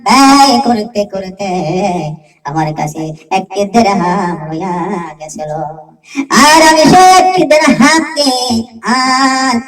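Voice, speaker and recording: A wise and gentle royal wife voiced by a woman storyteller speaks in a fairly high, measured voice. She pleads and repeats herself, a little far from the mic in a religious tale. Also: big pitch swings, faint music behind, exaggerated voice. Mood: calm reasoning.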